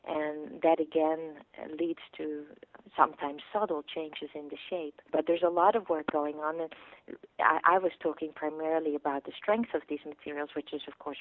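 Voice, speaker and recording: A woman's voice: deep voice, no room sound. Dutch-born woman professor, patient explainer, heard over a thin phone line. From Netherlands. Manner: thoughtful, explanatory, frequent restarts. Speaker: professor, guest expert. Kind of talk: interview answers explaining engineering.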